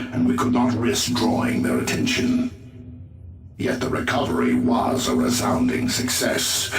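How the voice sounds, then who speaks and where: A man's voice: medium pitch, lightly acted. Man acting a grave alien elf commander, roomy and distant. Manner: formal, grave, measured declamation. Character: solemn alien elf seer-commander of an ancient race. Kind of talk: video game cutscene acting. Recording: roomy, distant voice over game music.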